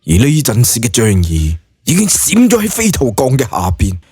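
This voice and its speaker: A male audiobook narrator with a Cantonese accent and a husky edge to his voice. He tells the story colloquially and dramatically, with lively swings of pitch. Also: moderate pace, dry recording, studio quality.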